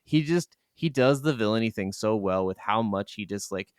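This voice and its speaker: A man's voice: fairly low pitch, expressive, normal speed, clean tone, no echo, clean studio sound. A man co-hosting a gaming podcast, chatting casually and emphatically with some swearing. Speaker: podcast co-host. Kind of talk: casual chat about video games.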